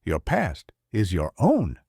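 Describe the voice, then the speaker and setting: An older man voicing a wise, gentle male lion king in a storybook, calm and solemn. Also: fairly low pitch, takes it slowly, gravelly, slightly rough, dry recording, studio quality, heavily acted. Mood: solemn tender.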